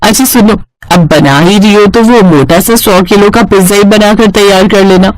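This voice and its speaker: A woman's voice: mid-range voice, normal speed, no room sound, lightly acted. A woman voicing a coaxing older sister-in-law, sweetly persuasive, in a cartoon.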